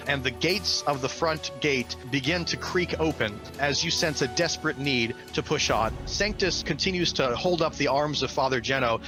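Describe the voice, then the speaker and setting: A man's voice: medium pitch, rough recording. A man working as a game master, giving dramatic narration for a tabletop fantasy game. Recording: loud music behind the voice.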